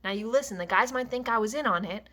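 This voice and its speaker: Protective ranch worker read by a woman, worried, resigned, bright tone. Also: medium pitch, fairly quick. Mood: urgent.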